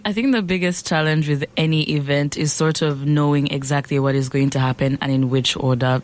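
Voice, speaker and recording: A young woman, a guest on a radio show, speaking in a relaxed way with lots of fillers. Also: sits low. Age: young adult. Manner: relaxed, filler-heavy speech. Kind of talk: casual radio interview.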